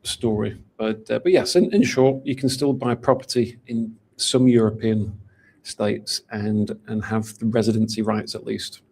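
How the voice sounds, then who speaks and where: A man's voice: on the low side, fairly even intonation, a little slow, gravelly, darkish tone, slightly rough. A man from England with a Manchester accent, answering interview questions in a chatty way with lots of fillers and restarts. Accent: Mancunian English. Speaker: golden visa specialist.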